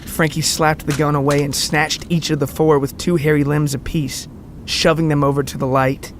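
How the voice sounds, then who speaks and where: A man's voice: fairly high pitch, flat delivery, moderate pace, a little hiss or hum. A man narrating a scripted podcast story in a dramatic, tongue-in-cheek way. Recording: music behind the voice. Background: background music.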